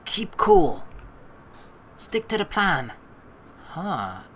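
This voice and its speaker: A woman's voice: medium pitch, wildly swooping pitch, extremely slow, slight background noise. A young woman hosting a let's play, teasing and slangy as she mixes casual commentary with reading the game's narration aloud. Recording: faint game music behind the voice.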